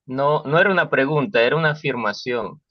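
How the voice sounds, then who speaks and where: A man's voice: medium pitch, brisk, no echo. A man with a Venezuelan accent, a participant in an online class, speaking earnestly and carefully over a video call. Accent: Venezuelan Spanish. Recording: dull lo-fi call audio.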